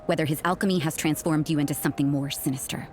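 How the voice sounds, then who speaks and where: A woman playing a stern, cynical young nun in a video game, guarded and suspicious, with a cool, sardonic, deliberate delivery. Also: sits low, fairly even intonation, darkish tone, soft background music, lightly acted. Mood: wary, firm. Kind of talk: English video game character dialogue.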